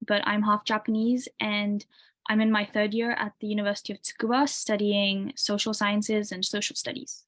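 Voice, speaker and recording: A woman's voice: medium pitch, moderate pace. A woman in her twenties, a university student, answering interview questions casually with lots of "like" and "um". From UK, grew up in Tanzania. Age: 20s. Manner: relaxed, many "like" and "um" fillers.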